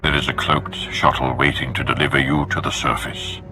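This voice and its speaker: An extremely deep male voice as a grave Sith lord in a video game. He speaks with formal, deliberate authority, like a commander briefing his chosen agent. Music plays clearly behind him. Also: flat delivery. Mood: stern warning. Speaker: military leader giving a mission briefing. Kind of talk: video game cutscene acting.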